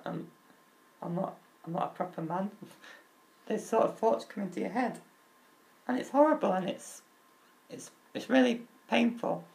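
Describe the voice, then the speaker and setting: Crackly, high-voiced young man. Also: vocal fry, a little mellow. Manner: open and earnest, halting restarts, nervous laughs. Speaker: vlogger. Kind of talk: candid personal talk.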